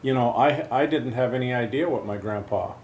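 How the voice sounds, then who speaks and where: Interviewer, man, mid-pitched, measured, roomy sound with faint noise. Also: darkish tone. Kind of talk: interview questions.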